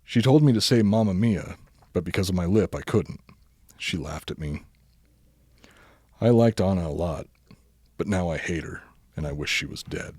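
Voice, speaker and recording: A man with a deep voice reads a silly bedtime story for a podcast. His delivery is deadpan, though he is prone to breaking into giggles. The sound is very dry, with hardly any room to it. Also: moderate pace, pauses often, breathy edge, no room sound. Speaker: podcast host reading a story. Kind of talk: comic bedtime story reading.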